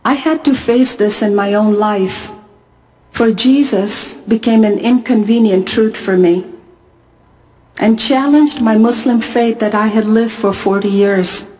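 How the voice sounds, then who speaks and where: A middle-aged woman from Pakistan, speaking at a conference and telling her own story in a measured, earnest way with deliberate pauses. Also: medium pitch, dark tone, rough recording. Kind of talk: testimony talk to a conference audience. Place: large arena.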